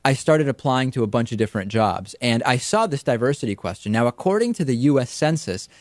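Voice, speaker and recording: A young man, Spanish his first language, hosts a news commentary segment from a studio desk mic. He talks emphatically and gestures as he explains. His voice sits at a middle pitch, and the room sound is very dry. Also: very animated, brisk, dry recording. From Argentina. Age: young adult. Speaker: talk show host. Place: TV studio set, indoors. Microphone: studio mic on a stand in front of him.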